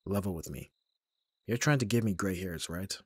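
A man playing an abrasive young bodyguard who is secretly soft, in an ASMR roleplay audio drama, with gruff, protective exasperation. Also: sits low, moderate pace, no room sound. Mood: concerned, gentle.

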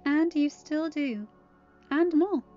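A woman voicing a gentle, dutiful young princess in a story, loyal and earnest, with soft-hearted pleading. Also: high voice, slow, pitched above the performer's own voice. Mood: affectionate. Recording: muffled lo-fi audio with music.

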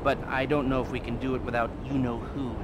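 An actor playing a nervy, sarcastic middle-aged heist planner in a video game, explaining in a halting, excitable way. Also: male voice, mid-range voice, very noisy, natural voice. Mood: businesslike, wry. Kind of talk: video game cutscene acting.

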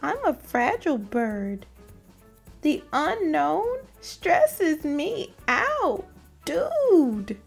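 A woman performs a whiny young cartoon pigeon from a storybook, fretting dramatically. The voice is extremely high and drags words out very slowly, exclaiming theatrically. Music plays clearly behind it. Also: wildly swooping pitch, exaggerated voice. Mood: panicked, fretful. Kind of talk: children's storybook read-aloud.

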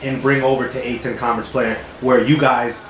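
A man's voice: mid-range voice, level tone, a little fast, a bit bright. A male reporter puts questions to a coach at a press conference. He is polite and restarts a bit before getting going. The room is echoey with a faint noise bed. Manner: polite, slightly fumbling start.

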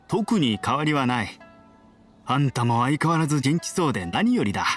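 Guy playing kind village uncle in an anime game, calm steady mid voice, soft music under it. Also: medium pitch, normal speed, close to an everyday voice. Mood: calm, caring. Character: kind, steady middle-aged village family man. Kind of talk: Japanese anime game voice acting.

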